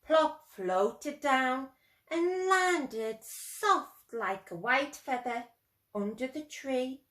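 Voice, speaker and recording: A woman's voice: high-pitched, animated, very slow, a bit bright. A woman storyteller reading aloud expressively to young children and addressing her listeners directly. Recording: roomy echo.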